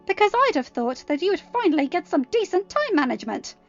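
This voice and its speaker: A woman plays a snooty female handler from a fantasy audio story, high and huffy. She speaks with prim condescension, like one used to scolding. The audio is a little dull, with music behind it. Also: big pitch swings, brisk, full character voice. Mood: scornful scolding.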